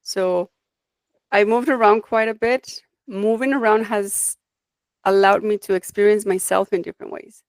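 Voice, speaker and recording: A woman's voice: medium pitch, fairly even intonation, measured pace, no echo. Reflective woman from Mexico, dry sound. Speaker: guest, life design coach. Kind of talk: conversational interview, personal storytelling.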